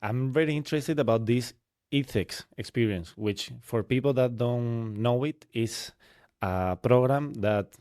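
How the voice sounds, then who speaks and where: A man's voice: mid-range voice, measured pace, darkish tone. A man hosting a podcast, chatty, summing up what his guest said and asking long follow-up questions. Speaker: podcast host. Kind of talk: casual interview hosting.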